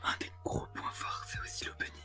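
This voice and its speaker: Hushed, whispering young co-host. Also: a little fast. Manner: informal youth slang. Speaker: co-host of an anime review. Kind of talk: whispered ASMR anime review, casual chat. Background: music.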